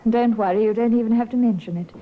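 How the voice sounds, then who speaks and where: A woman playing a halting, defensive young widow in a 1950s radio drama. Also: fairly high pitch, close to an everyday voice. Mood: guarded, tense. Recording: muffled, noisy old radio recording.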